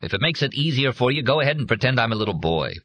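A man acting out a shaky, edgy grown man on the verge of snapping, his voice raised and irritable. The delivery is lively and close. There is no room sound around it. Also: mid-range voice, quick pace, dry recording, voice pushed up. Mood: tense. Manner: edgy, irritable, near shouting.

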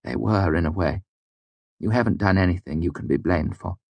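A man's voice: sits low, normal speed, creaky, darkish tone, no room sound. A man voicing a stern, commanding male mayor who barks his orders. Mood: gentle. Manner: barking, stern.

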